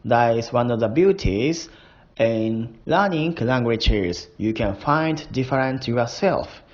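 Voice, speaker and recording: Slow, tipsy Japanese-accented man. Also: medium pitch, lively intonation. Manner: playful, chuckling, slow and deliberate. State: drunk. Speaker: language learner. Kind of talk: casual voice diary monologue. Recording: dull lo-fi recording.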